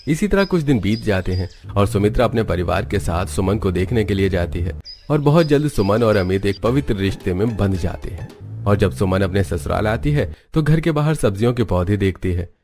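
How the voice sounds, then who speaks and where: Low-voiced male story narrator. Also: expressive, normal speed, a little mellow, soft background music. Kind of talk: scripted moral story narration.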